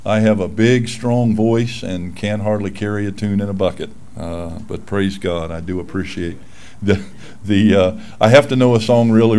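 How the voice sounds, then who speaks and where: A man's voice: fairly low pitch, a little mellow, slight background noise. A man in his sixties, a pastor giving casual, folksy church announcements from a lectern microphone in a small church room. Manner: folksy, self-deprecating, with frequent fillers.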